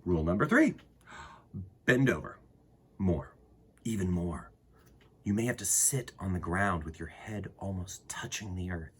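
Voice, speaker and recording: Animated low, husky man reading to kids. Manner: animated, dramatic pauses and emphasis. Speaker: children's storytime host and reader. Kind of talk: expressive picture book read-aloud for children.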